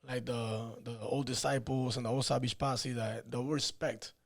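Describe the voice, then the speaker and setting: A man's voice: medium pitch, flat delivery, normal speed, vocal fry. A man in his thirties, born in Peru, telling his life story in a loose, rambling way on a podcast, dropping "you know" and "man" often. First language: Spanish. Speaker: podcast guest, fighter. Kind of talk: casual storytelling interview.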